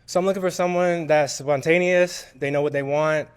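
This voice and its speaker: A man in his thirties from the Bahamas answers questions on a dating show. His voice is smooth and clear, held in a narrow, even pitch. He moves through his answers steadily, like a list. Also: moderate pace. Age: 30s.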